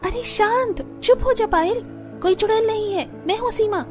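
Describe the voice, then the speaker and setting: Fed-up cartoon village bride, voiced by a woman: very high, emphatic, complaining, soft music behind. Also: normal speed. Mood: hushing. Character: young village daughter-in-law, fed-up and scheming.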